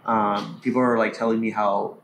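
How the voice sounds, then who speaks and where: A man's voice: lowish voice, flat delivery, moderate pace, gravelly. Male host chatting in an echoey space. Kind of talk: casual conversational interview. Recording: echoey space, faint background noise.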